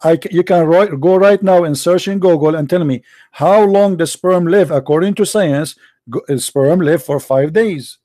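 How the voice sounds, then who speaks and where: A man's voice: on the high side, normal speed, mellow. A man whose first language is Arabic, arguing hard in a heated religious debate, cutting in and repeating himself. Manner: combative, interrupting, repeating himself.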